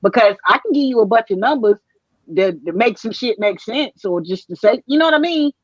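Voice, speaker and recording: A woman's voice: on the high side, lively intonation, brisk, dry recording, muffled recording. A woman arguing her point in a casual panel chat, emphatic and slangy, often repeating herself.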